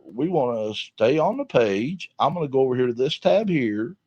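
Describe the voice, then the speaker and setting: A man's voice: mid-range voice, animated, normal speed, a little mellow, breathy edge. A man co-hosting a casual wrestling talk show, folksy and drawn out in his delivery. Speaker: podcast co-host. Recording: dull lo-fi sound.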